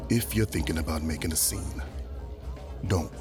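A man playing a cool, menacing bounty hunter in an audio drama, terse and deadpan. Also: rumbling low, moderate pace, over music. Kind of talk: audio drama dialogue and narration.

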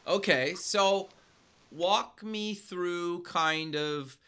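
A man's voice: sits high, drawn-out words, sharp tone, clear, resonant tone. A man hosting a podcast and radio show, presenting and interviewing. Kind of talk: scripted show intro, then casual interview.

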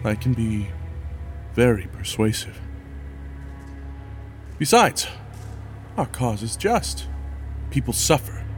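A man's voice: mid-range voice, darkish tone. An actor playing a proud, persuasive king in a fantasy horror audio drama, speaking in a commanding, coaxing, theatrical way. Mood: persuasive, insistent. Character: proud, persuasive king, husband to an expectant wife.

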